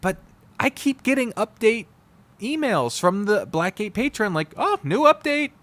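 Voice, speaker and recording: A man's voice: sits high, very animated, a little slow, fairly bright tone, dry recording. A man giving an unscripted, casual review monologue, candid and rambling, with emphatic wording and some swearing. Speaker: YouTuber reviewing visual novels.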